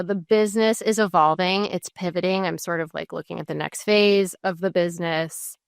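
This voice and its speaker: A woman's voice: lowish voice, gravelly, a bit bright, smooth, no echo, clean studio sound. A woman in her forties, a podcast guest, talking openly and reflectively about her work and self-worth, with frequent restarts. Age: 40s. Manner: reflective, open, many restarts and fillers. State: tearful at moments. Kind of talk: candid conversational interview.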